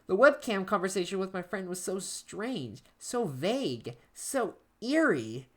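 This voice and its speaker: A woman playing an anxious, isolated diarist in a horror story, reading in a halting, emotive way with music behind her. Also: mid-range voice, very animated. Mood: shocked, unsettled. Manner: halting, emotive, with stammered repeats. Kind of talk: dramatic first-person horror story narration. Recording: background music under voice.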